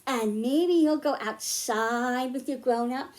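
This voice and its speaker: A woman's voice: sits high, slow, darkish tone. A woman presenting a science storytime for young children, explaining things in simple, instructive phrases. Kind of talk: children's science lesson, spoken to young viewers.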